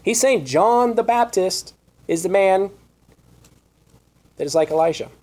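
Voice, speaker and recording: A man leads a scripture study in a sermon, his voice sitting a little high for a man. He reads verses aloud and poses rhetorical questions. His voice is wearing thin and turning hoarse. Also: animated, unhurried, a little mellow. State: losing his voice. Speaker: preacher.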